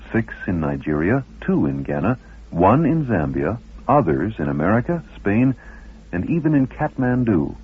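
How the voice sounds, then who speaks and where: A man's voice: low-pitched, slightly rough. A man hosting a radio program, reading scripted documentary narration with a dramatic, emphatic delivery. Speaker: radio host. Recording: narrow phone-like old radio broadcast.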